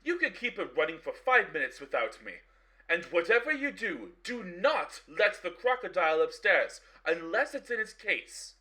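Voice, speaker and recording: Man acting an exasperated barber, piercingly high, bright. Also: sing-song, normal speed, exaggerated voice, low-quality recording. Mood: scolding, worried. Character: exasperated, loyal barbershop owner and crocodile expert. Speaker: actor in a radio play. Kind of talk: radio play acting.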